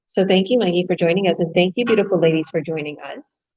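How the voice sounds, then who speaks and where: Brief: female host, lower register, upbeat and chatty, dull lo-fi recording. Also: fast, mellow. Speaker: host and business coach. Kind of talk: casual online talk show.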